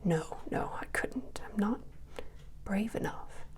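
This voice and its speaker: A woman voicing a huge but timid, tender-hearted male dragon from a storybook in a breathy whisper. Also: medium pitch, slow pace, natural voice. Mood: reluctant, gentle. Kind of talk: whispered storybook character reading.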